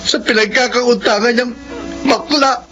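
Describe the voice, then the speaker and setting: A man's voice: very high-pitched, steady tone, unhurried, over music. An actor playing a young man who ran away from home and got pulled into a theft, speaking in a hesitant, pleading way in a radio drama. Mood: tearful, bitter. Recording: dull lo-fi audio with background noise.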